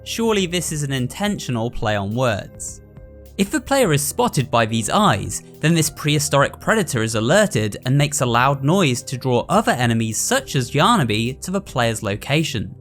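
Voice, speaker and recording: A man's voice: mid-range voice, very animated, moderate pace, fairly bright tone, faint music behind. A man narrating a scripted breakdown as the host of a video.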